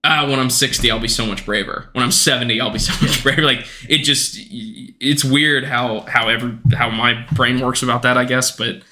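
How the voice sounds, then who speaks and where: Twenties man, bright tone, earnest and rambling, lots of restarts; casual podcast talk. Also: mid-range voice, steady tone, moderate pace, few pauses, slight background noise. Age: 20s. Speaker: podcast guest.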